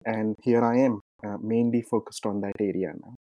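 A man from India, a clinician answering interview questions in a measured way, with frequent ums and uhs. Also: fairly low pitch, fairly even intonation, mellow, no room sound, highs cut off. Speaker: clinician guest.

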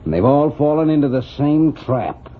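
Muffled vintage radio western. Male actor as crooked casino boss: animated, swooping delivery, smug, vengeful, openly menacing and excitable. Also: a little high, moderate pace, lightly acted. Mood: gloating menace. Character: vengeful, smug male gambling-house crime boss and ex-convict. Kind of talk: 1940s radio western villain acting. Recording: dull, slightly noisy old radio recording.

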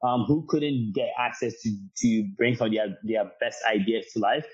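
A man's voice: mid-range voice, moderate pace, slightly rough. A young man from Ghana, a podcast guest, telling his career story in an earnest, talkative way with many restarts. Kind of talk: storytelling interview answer. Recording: dull lo-fi sound.

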